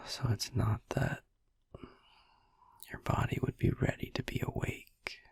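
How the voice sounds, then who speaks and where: A man playing a soothing vampire boyfriend in an ASMR sleep-aid roleplay, musing gently in a hushed, breathy whisper close to the microphone. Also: dry recording, lightly acted. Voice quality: breathy whisper with gravelly creak. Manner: slow, hushed, gently musing with long pauses. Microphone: close microphone, dry.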